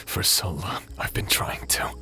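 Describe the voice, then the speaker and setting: A man's voice: measured pace, sharp tone, soft background music. A man playing a remorseful ex-pilot turned space pirate in an audio drama, speaking in a hesitant, wry, subdued way. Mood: anguished.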